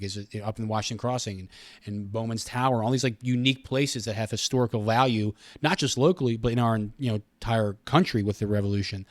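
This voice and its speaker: A man's voice: lowish voice, dry recording. A middle-aged man with an American accent, explaining things earnestly in a casual interview and often doubling back on his phrases. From Pennsylvania, United States. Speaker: radio station communications director, podcast guest.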